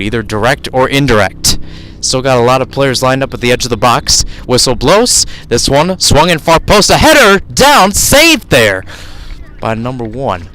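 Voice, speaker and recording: A man's voice: mid-range voice, fairly bright tone, rough recording. A man doing live radio play-by-play of a soccer match outdoors, describing the action in short, clipped phrases. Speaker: play-by-play sports commentator.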